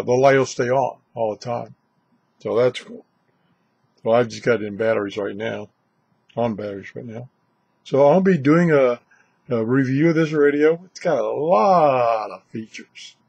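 An older man with a husky, creaky voice talks casually through an unscripted video. He rambles along in a chatty way, and the sound is close and dry. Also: medium pitch, darkish tone, no room sound. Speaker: video host reviewing shortwave radios. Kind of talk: casual unscripted product vlog. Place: indoors, small cluttered radio room.

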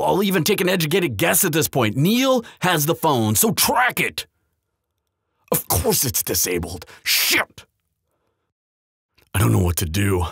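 A man playing a guilt-ridden bodyguard in love in a roleplay audio drama, speaking in an urgent, halting, earnest way. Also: mid-range voice, creaky, fairly bright tone, husky, dry recording, studio quality, exaggerated voice.

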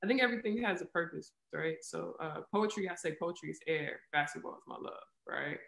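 A young woman in her twenties talks candidly over a webcam from her living room, thinking out loud with plenty of fillers. Her voice carries a gravelly fry. The room around her is noticeably echoey. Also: fairly low pitch, animated, a little fast. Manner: candid, reflective, many fillers like "right?" and "um". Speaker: interview guest, athlete and poet. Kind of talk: casual video call interview. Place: living room at home, indoors. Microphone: video call webcam. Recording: roomy echo on a video call.

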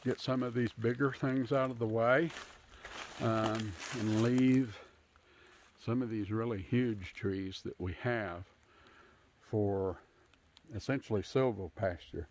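Middle-aged man, casual, unhurried outdoor tour. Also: lowish voice, fairly even intonation, background noise. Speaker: homesteader hosting a channel. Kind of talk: casual unscripted tour narration. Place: outdoors in bare winter woods. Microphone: handheld phone at arm's length.

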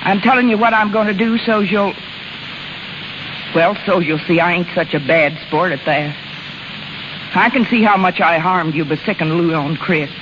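An actress in a 1930s radio drama playing a weary, remorseful, broke carnival woman with halting, folksy speech. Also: on the low side, natural voice. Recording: muffled, crackly 1930s radio broadcast.

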